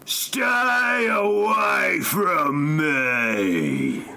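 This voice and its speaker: A man's voice: high voice, lively intonation, very slow, gravelly, sharp tone, exaggerated voice. A man playing an irritable, bitter, melodramatic wife in a parody audio play. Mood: irritated.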